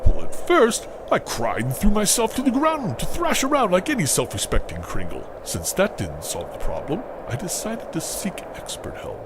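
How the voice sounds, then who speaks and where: Melodramatic old Santa Claus, acted by a man, very animated. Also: high-pitched, moderate pace, few pauses. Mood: self-pitying. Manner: theatrical, earnest. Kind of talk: comic audio play acting. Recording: clearly noisy background.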